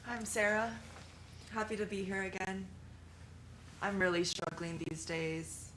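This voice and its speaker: A woman's voice: mid-range voice, unhurried, background noise, close to an everyday voice. A woman playing a sincere support group member in a live improv sketch.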